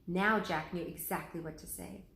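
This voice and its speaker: A woman, a teacher, reading a children's chapter book aloud with lively, expressive voices. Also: fairly low pitch, normal speed, gravelly, roomy sound.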